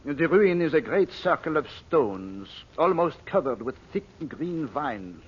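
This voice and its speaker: An actor playing an excitable, loyal Frenchman with a French accent in a 1940s radio serial, often repeating himself. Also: a little high, measured pace, husky, heavily acted. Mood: excited, ominous. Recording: muffled old radio recording.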